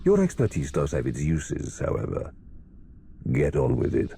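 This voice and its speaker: Very deep, menacing Sith lord. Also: male voice, wildly swooping pitch, dark tone, a little hiss or hum, full character voice. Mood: impatient contempt. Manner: slow, contemptuous, domineering. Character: menacing, arrogant Sith lord who scorns rivals as weak. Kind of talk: cinematic video game acting.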